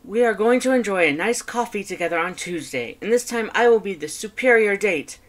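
Woman as a male skeleton, high voice, proud, competitive, formal wording, dramatic audiobook character. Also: expressive, brisk, lightly acted. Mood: proud, boastful. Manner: emphatic, formal, theatrical delivery. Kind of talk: fan fiction audiobook character voice.

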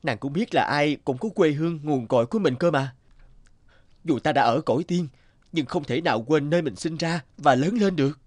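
A man's voice: on the high side, moderate pace, a little mellow, dry recording, natural voice. A man voicing a homesick young scholar, earnest. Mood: pleading. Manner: earnest, courtly and archaic. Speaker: voice actor. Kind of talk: cartoon dubbing.

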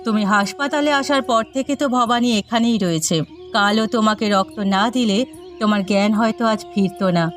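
A woman's voice: mid-range voice, moderate pace, natural voice. A woman playing a caring, plain-spoken middle-aged village mother and wife in a cartoon moral story. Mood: concerned, reproachful.

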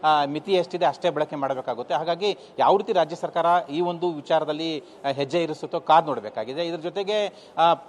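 A man's voice: a little high, fairly quick. A man with a Kannada accent giving a live news report in long explanatory phrases with hesitant gaps. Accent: Kannada, Karnataka. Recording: faint ambient noise.

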